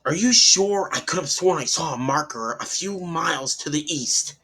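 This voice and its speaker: A man's voice: a little high, lively intonation, moderate pace, pushed and bright, breathy edge, pitched above the performer's own voice, full character voice. A man voicing an uneasy, earnest male officer who tends to stammer, in a horror story reading. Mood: puzzled, doubtful.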